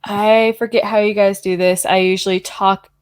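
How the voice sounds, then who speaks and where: A woman's voice: mid-range voice, level tone, moderate pace, smooth, no room sound. A woman appearing as a guest on a casual podcast, a little unsure and checking in with the hosts. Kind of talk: casual chat.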